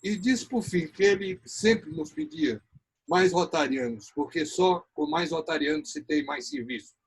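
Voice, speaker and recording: A man from Ceará, Brazil, with a Brazilian accent, hosting an online talk show and giving a formal welcome. He talks haltingly, searching for words, with many pauses. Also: high voice, sing-song, vocal fry, husky, low-quality recording. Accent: Brazilian Portuguese, from Ceará. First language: Portuguese. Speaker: host.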